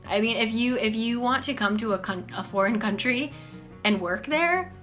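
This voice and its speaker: A woman in her twenties from the United States, chatting casually to camera in a small room, full of "like" and "um", animated. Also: on the high side, normal speed, faint music behind. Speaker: vlogger. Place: small bedroom office, indoors.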